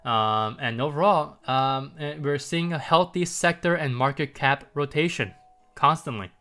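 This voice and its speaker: A man's voice: on the high side, measured pace, sharp tone. A man hosting a market livestream, talking loosely to viewers with lots of "um" and "right?", his voice a little stuffy from congestion. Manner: loose and chatty, many ums and rhetorical "right?", light chuckles. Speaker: market commentary livestream host. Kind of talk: casual livestream talk to viewers.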